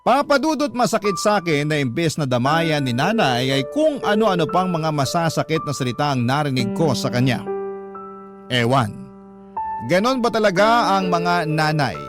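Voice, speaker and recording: Man narrating a letter over music. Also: on the high side. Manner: calm, measured, first-person storytelling. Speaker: narrator reading a listener's letter. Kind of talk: scripted radio narration. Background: background music.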